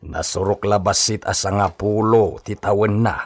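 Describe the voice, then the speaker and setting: An actor with an Ilocano accent playing a loyal, cautious hacienda servant in a radio drama, gently chiding. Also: male voice, lowish voice, steady tone, normal speed.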